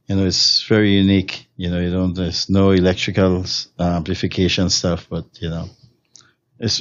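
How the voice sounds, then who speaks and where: A man's voice: low-pitched, no echo, muffled recording. A man from Trinidad and Tobago with a Trinidadian accent, a steel drum musician answering interview questions in a rambling way, with many fillers and restarts. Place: hotel room.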